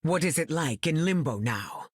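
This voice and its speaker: An actress playing a regal, composed mentor heroine with stately, formal speech in a video game. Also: female voice, deep voice, lively intonation, normal speed, slightly rough, no room sound, clean studio sound. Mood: composed, serious. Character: regal, composed weather-wielding mutant mentor woman. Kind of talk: video game character acting.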